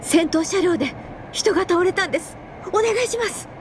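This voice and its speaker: A woman's voice: very high voice, lively intonation, moderate pace, voice pushed up. A woman playing a desperate young man in a radio drama, urgently pleading for help, with faint noise behind.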